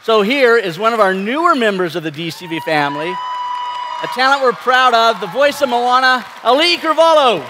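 A man's voice: extremely high pitch, expressive, normal speed, bright, forceful tone, a little hiss or hum. A middle-aged man hosting a live stage event with enthusiasm through a hall PA. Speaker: stage host. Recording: large hall PA, crowd behind.

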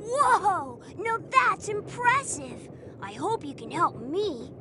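A performer voicing a friendly, trusting child in a video game, eager and expressive. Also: very high voice, slow pace, heavily acted. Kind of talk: video game character acting. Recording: faint train noise.

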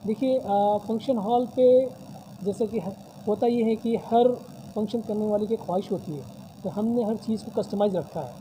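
Man, press conference, very dark tone, formal promotional talk, faint hiss. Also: high voice, normal speed, low-quality recording. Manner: formal, promotional, frequent religious phrases. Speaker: convention hall representative. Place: decorated hall at a press table. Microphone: many news mics on a table.

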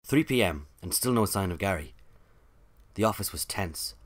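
An actor playing a put-upon office worker, giving scripted first-person narration in a dry, wry manner. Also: male voice, low voice, expressive, normal speed.

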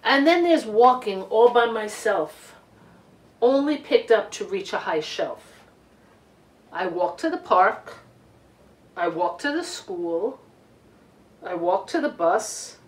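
A middle-aged woman reading a picture book aloud to children in an expressive, deliberate way with emphasized words. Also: medium pitch. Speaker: children's storytime reader. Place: living room couch, indoors. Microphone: camera a few feet away. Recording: roomy home recording, distant microphone.

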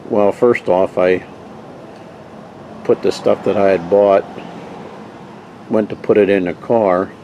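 Low-voiced man rambling about camping finds. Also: takes it slowly, highs cut off, slight background noise. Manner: rambling, relaxed storytelling with frequent uh's and restarts. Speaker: vintage camping gear collector showing finds. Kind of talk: casual show-and-tell narration.

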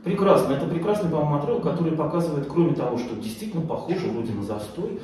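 A man's voice: on the high side, expressive, racing, a little mellow, soft background music. A middle-aged man giving an informal lecture, talking off the cuff and hesitantly searching for words. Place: small indoor lecture room, far camera. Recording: echoey room, distant mic, faint noise.

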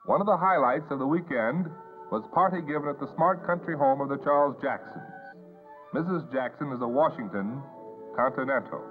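Male American newsreel narrator, 1950s; polished announcer delivery, higher-set voice, thin old soundtrack with music. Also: brisk. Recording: tinny band-limited 1950s newsreel soundtrack.

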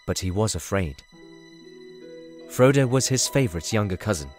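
A man's voice: lowish voice, animated, moderate pace, soft background music. A man narrating a scripted fantasy story as a storybook narrator.

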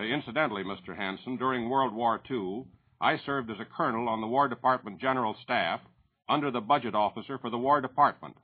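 An American man, a business spokesman making measured, formal statements in a radio interview. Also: medium pitch, husky. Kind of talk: formal, prepared-sounding statements. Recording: tinny narrowband 1940s radio line.

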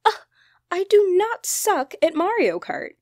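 A woman playing an expressive young genderfluid best friend in a conversational ASMR roleplay. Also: very high-pitched, unhurried, no room sound, clean studio sound, exaggerated voice. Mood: playful, teasing. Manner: teasing, casual, expressive, apologetic. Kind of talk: ASMR roleplay, one-sided conversational acting.

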